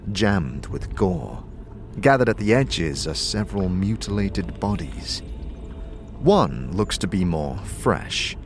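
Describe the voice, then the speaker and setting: A man narrating a fantasy audio drama in a vivid, suspenseful, scripted storytelling style. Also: low-pitched. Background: music.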